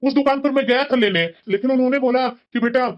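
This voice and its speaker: Young son, chatty and dutiful, fairly high voice, woman acting. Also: fast, muffled recording, lightly acted. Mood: cheerful. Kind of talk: homemade family skit acting.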